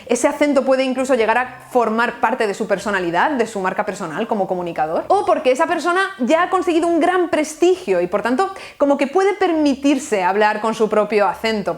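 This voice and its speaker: A young Valencian woman with a slightly high voice delivers a scripted talk on books and language. She speaks with a Valencian Spanish accent. She drops in dry, ironic asides as she goes. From Valencia, Spain. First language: bilingual Valencian and Spanish. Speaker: literature video host. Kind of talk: scripted explanatory video essay.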